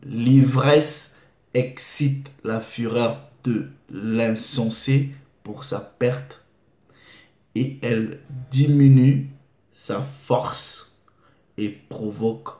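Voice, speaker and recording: A man preaching, reading scripture slowly and deliberately and asking rhetorical questions. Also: mid-range voice, steady tone, warm tone. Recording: echoey room.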